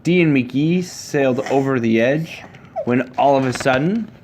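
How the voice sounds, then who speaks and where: A man reading a children's picture book aloud to his child, with a measured, rhyming storybook delivery. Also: mid-range voice.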